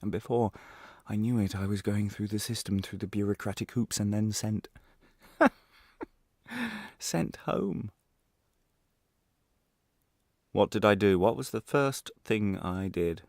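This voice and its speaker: A man's voice: fairly low pitch, unhurried, a little mellow, dry recording, clean studio sound. A man playing a guilt-ridden former prisoner telling his story in a radio drama, intense and brooding, in broken, repetitive phrases. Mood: unsettled.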